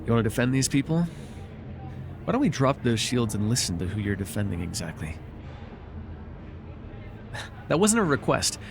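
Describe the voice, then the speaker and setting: A man playing a controlling, vengeful supervillain in an immersive ASMR roleplay, taunting and giving orders in a deliberate, mocking way. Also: mid-range voice, very animated, vocal fry, background noise. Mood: angry, scornful. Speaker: voice actor.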